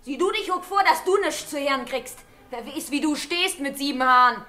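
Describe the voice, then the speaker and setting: A woman's voice: high voice, expressive, normal speed, pushed and bright. A woman playing a bossy, thrifty middle-aged carter's wife in a German radio drama, with earthy, scolding talk. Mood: indignant, scolding. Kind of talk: mid-century German radio drama acting. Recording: 1960s radio play with music behind.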